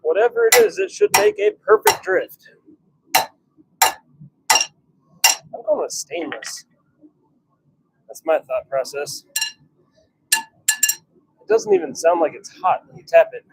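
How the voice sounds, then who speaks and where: Man, slightly high gravelly voice, casual workshop livestream commentary, short musing fragments, very heavy background noise. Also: huge pitch swings, takes it slowly, pauses often. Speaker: blacksmith hosting a workshop livestream. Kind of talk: casual commentary while working. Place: home forge workshop. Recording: very noisy workshop with clicks and clanks.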